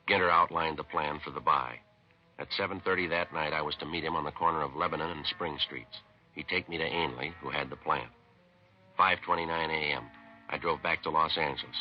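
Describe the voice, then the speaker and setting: A muffled 1950s radio recording of a hard-boiled American police detective. His voice is extremely deep and he talks fast. He is terse, deadpan and matter-of-fact, wasting no words. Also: faint music behind. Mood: flat, matter-of-fact. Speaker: lead actor and narrator. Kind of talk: 1950s radio police drama acting and narration.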